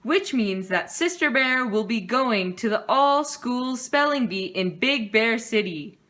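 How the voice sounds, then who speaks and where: A formal male school principal bear from a children's storybook, acted out by a woman. He announces in a precise, slow manner, in a fairly high voice. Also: animated, a bit bright. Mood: triumphant.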